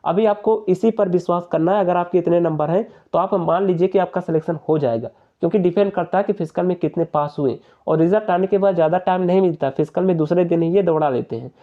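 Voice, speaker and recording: Young male explainer, high-pitched, persuasive and repetitive, on a dull narrow recording. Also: fast, few pauses. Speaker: exam-prep YouTuber. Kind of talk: informal explainer addressing viewers.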